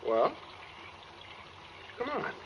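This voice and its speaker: An American man playing a brooding, coldly calm escaped killer in a 1940s radio suspense drama, speaking matter-of-factly with quiet menace. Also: very slow. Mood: eerily casual. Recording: dull 1940s radio recording with noise.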